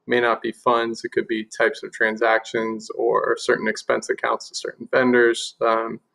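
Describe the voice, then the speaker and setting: Gravelly-voiced man giving a software walkthrough. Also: mid-range voice, completely flat delivery, moderate pace, low-quality recording. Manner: explanatory, step by step. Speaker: software demonstrator. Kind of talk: informal screen-share software walkthrough.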